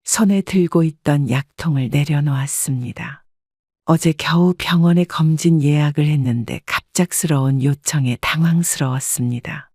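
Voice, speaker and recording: A woman's voice: sits low, normal speed, a little mellow, no echo, clean studio sound. A woman reading a scripted first-person story as an audiobook narrator.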